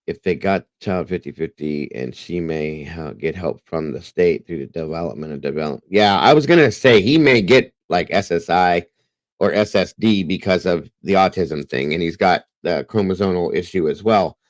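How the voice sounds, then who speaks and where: A man's voice: deep voice, lively intonation, normal speed, no room sound. A man hosting a true crime livestream, talking casually and rambling, breaking off now and then to address his viewers. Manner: rambling, conversational, breaks off to greet chatters.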